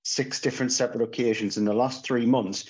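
A man's voice: medium pitch, steady tone, a little fast, slightly rough. Rambling man on a call. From Northeast England. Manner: rambling, full of restarts and fillers. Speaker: podcast co-host. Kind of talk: casual podcast chat. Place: small room at home, indoors. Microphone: phone camera on a video call. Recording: slightly dull lo-fi call audio.

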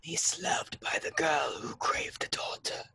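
Evil locket spirit: slow, very breathy whisper, sharp and menacing. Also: medium pitch, full character voice. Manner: slow, drawn-out taunting. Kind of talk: amateur screenplay table read.

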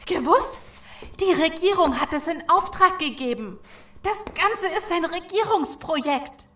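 A woman's voice: very high voice, expressive, a little slow, a bit bright, full character voice. Woman acting a grave survivor, echoing room. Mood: bitter, explaining. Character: shaken, knowing young woman piecing together horror. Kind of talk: audio drama acting. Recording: roomy echo, faint background noise.

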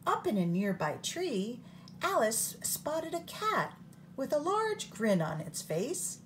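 A woman reading a picture book aloud in an expressive storytime manner, recorded on a phone held over the book. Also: sits high, slow, a little hiss or hum, rough recording.

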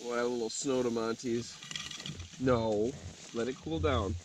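Relaxed young man at a snowy campfire. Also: mid-range voice, measured pace, background noise. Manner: relaxed, chatty, talks to his dog. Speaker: outdoor vlogger. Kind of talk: casual camp vlog narration. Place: outdoors at a campfire in snow at night, then inside a snow shelter.

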